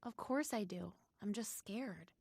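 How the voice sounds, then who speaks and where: A woman's voice: medium pitch, measured pace, darkish tone, husky, dry recording. A woman playing a troubled, lonely and cynical teenage girl who tells her story plainly in an audio drama. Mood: anxious. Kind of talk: audio drama acting and first-person narration.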